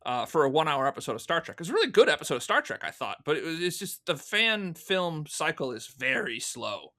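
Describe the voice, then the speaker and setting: Bright-voiced man telling stories casually. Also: a little high, big pitch swings, a little fast. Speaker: podcast guest, audio drama producer. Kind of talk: casual interview storytelling.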